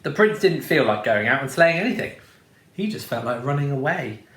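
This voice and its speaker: Male storyteller, playful read-aloud, lively, roomy sound. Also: medium pitch, moderate pace. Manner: expressive, playful. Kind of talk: reading a children's storybook aloud.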